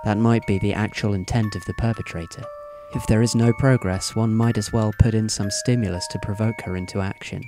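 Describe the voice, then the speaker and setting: Man playing composed teen boy, lowish voice, formal, analytical, measured, polite, audio drama, background music. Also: steady tone, natural voice. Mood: coolly speculative. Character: composed, analytical teenage schoolboy with theatrical politeness.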